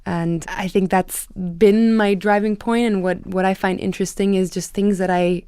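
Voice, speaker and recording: A woman's voice: medium pitch, steady tone, normal speed, dark tone, clear, resonant tone, no echo, clean studio sound. A young woman guest, a playwright and actor, reflecting tentatively and thoughtfully in a casual interview. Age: young adult.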